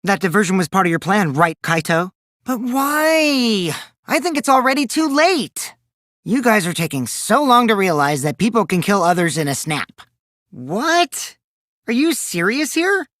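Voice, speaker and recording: A taunting, mischievous teenage boy from an anime video game. His pitch leaps around as he swings theatrically from gleeful mockery to cold remarks. The recording is dry, with no room sound. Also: sing-song, measured pace, a bit bright, no echo, heavily acted. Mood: incredulous, needling.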